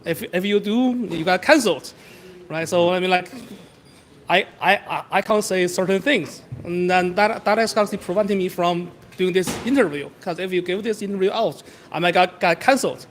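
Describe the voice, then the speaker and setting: Young guy, high voice, stumbles and restarts a lot but means it, from overseas. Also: a bit bright, a little hiss or hum. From outside Canada. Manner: halting, many restarts and fillers, earnest. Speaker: interviewee. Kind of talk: street interview on campus.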